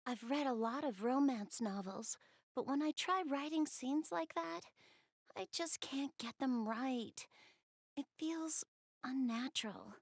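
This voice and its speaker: A woman's voice: high-pitched, slow, no room sound, studio quality, heavily acted. A woman voicing a shy, bookish young goddess in a video game, speaking hesitantly and earnestly. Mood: dejected, pensive. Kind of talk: video game character voice acting, intimate one-to-one dialogue.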